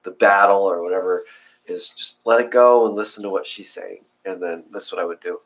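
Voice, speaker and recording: A man's voice: low-pitched, a little fast, highs cut off. A man chatting casually as co-host of a meditation session, rambling and joking at his own expense. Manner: joking, rambling, self-deprecating. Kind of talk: casual chat.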